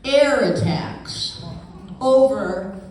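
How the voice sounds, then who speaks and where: Woman telling a funny puppet story, super slow and dramatic, lively pitch, big echo. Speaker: puppet show narrator. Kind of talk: scripted comic storytelling for live puppet show. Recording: echoey hall, distant mic, faint noise.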